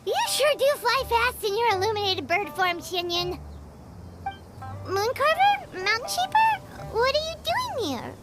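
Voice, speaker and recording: A woman voicing a tiny, chatty female fairy companion who talks about herself in the third person, in a video game over loud music. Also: very high-pitched, big pitch swings, unhurried, exaggerated voice. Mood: curious.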